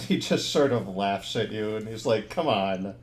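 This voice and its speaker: A man's voice: on the low side, normal speed. A man narrating a tabletop horror game as game master, easygoing and rambling, full of ums and restarts.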